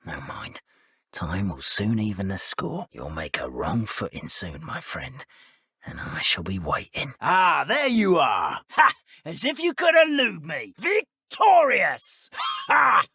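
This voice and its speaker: Haughty, theatrical dark wizard villain. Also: male voice, medium pitch, wildly swooping pitch, slow, slightly rough, no echo, clean studio sound, full character voice. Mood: vengeful, gloating. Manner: pompous, theatrical, formal old-fashioned phrasing. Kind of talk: video game villain voice acting.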